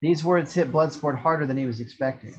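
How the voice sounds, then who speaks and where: A man's voice: fairly high pitch, a little fast, a little mellow, a little hiss or hum. A man reading out screenplay action descriptions as the narrator of a table read. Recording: slightly dull lo-fi microphone.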